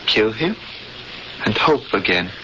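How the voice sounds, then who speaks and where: A resigned alien prisoner. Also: male voice, fairly low pitch, sing-song, takes it slowly, pushed and bright, exaggerated voice. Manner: earnest, resigned. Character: earnest doomed male alien prisoner. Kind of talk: 1970s radio drama acting. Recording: dull old radio recording with hiss.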